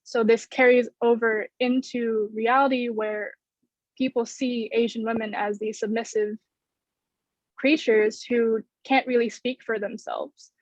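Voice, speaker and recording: A woman in her twenties with an American accent, giving measured, explanatory answers in a panel discussion. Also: on the high side, level tone, no room sound. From United States. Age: 20s. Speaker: theater student. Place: bedroom, indoors. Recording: muffled video-call audio.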